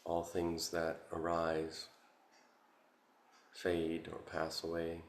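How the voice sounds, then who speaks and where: A man guiding a meditation as a Buddhist teacher, contemplative and halting, with long pauses between short phrases. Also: low-pitched, completely flat delivery. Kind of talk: guided meditation, Buddhist dharma talk. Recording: roomy, echoing sound.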